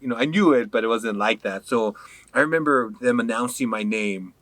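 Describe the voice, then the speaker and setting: A man from Hawaii, a podcast guest, chatting casually and telling animated stories, laughing often. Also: medium pitch, fairly quick, no echo. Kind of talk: casual interview chat.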